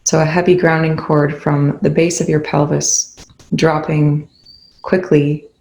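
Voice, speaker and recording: A meditation instructor leading a guided session in a soothing, gentle, unhurried way with long pauses. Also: medium pitch, creaky, echoey room, low-quality recording.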